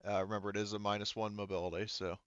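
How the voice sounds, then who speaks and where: A man's voice: lowish voice, level tone, very fast, fairly bright tone, clean tone, highs cut off. A man acting as game master, casually narrating a tabletop game in an informal, joking way with some swearing.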